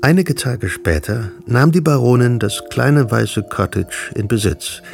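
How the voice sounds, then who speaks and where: An actor narrating a literary period radio drama as a composed storyteller. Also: male voice, on the low side, creaky. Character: composed male storyteller of a period family novel.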